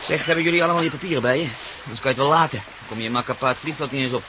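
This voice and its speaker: An actor in a radio play as a brisk, practical pilot who helps fugitives get away, giving orders. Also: male voice, mid-range voice, close to an everyday voice. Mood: brisk, busy. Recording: noisy radio drama recording.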